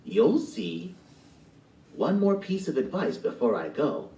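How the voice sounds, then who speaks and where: A man voicing a gentle, mysterious old woman who gives solemn advice in a storybook play, recorded in a roomy space. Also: on the high side, big pitch swings, slow, gravelly, pitched above the performer's own voice, exaggerated voice. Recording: roomy, from far off the mic.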